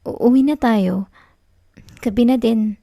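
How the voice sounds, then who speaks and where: A woman plays a possessive young Filipino girlfriend who refuses to let go. Her voice is soft and mellow, with a creaky fry in it, recorded very dry and close. She pleads tenderly in an intimate ASMR roleplay. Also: a little high, slow, no echo, full character voice. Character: clingy, possessive young student girlfriend in denial.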